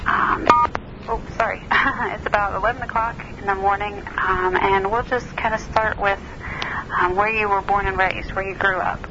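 A woman from Ohio conducting an oral history interview by phone, prompting gently with ums and mm-hmms. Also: mid-range voice, fairly even intonation, moderate pace, highs cut off, background noise.

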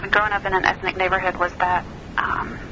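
Flat-voiced woman interviewer, noisy line. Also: fairly low pitch, fairly quick, muffled recording. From Ohio. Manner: gentle prompting with mm-hmms and ums. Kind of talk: oral history interview.

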